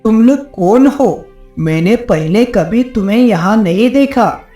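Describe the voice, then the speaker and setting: A woman voicing a kindly, curious male temple priest in a moral story, with a measured manner. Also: fairly high pitch, expressive, warm tone, soft background music. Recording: echoey, roomy sound.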